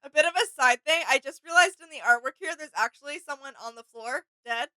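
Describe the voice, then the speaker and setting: Woman cohost on a reaction vid, casual analysis, high bright voice, no room echo. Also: quick pace. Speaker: reaction video co-host.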